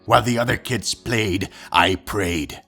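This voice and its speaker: A man acting a low, gravelly religious fanatic from a comic book. He preaches in a pompous, deliberate way with a hard, forceful edge. Music plays under him. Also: heavily acted. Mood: fervent preaching. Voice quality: gravelly, raspy. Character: zealous self-righteous religious fanatic villain preaching judgement.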